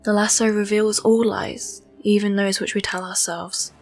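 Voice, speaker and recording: Lady playing noble amazon warrior princess, firm n solemn, medium pitch, audio drama w soft music under it. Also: flat delivery, normal speed, natural voice. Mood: solemn, compassionate.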